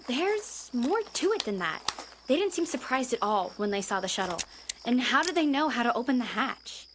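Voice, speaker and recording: A woman's voice: fairly high pitch, sing-song, normal speed, background noise. Wary, teasing young woman. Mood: suspicious. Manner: casual, skeptical. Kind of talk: audio drama acting.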